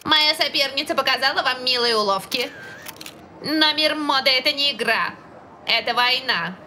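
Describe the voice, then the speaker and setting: A woman's voice: high voice, normal speed, pushed and bright, slight background noise. A woman voicing a menacing, theatrical young demon rival in a cartoon dub. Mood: haughty, menacing. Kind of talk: animated cartoon dub acting.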